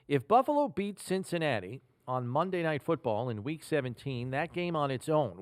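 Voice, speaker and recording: A man with a mid-pitched voice talks as a sports podcast host. He lays out football analysis in a deliberate, explanatory manner, in a very dry recording space. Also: dry recording.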